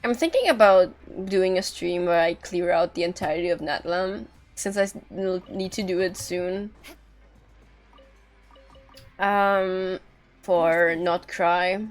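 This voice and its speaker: Slow-talking, blunt woman game streamer. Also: lowish voice, faint music behind. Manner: blunt, sweary. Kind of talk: casual live game commentary.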